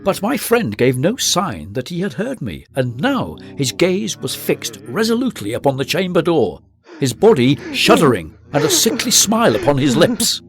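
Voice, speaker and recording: An actor playing a haunted gentleman narrating a past horror in a radio drama, in a grave, literary, theatrical manner. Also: a little high, huge pitch swings, moderate pace, faint music behind. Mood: grave dread.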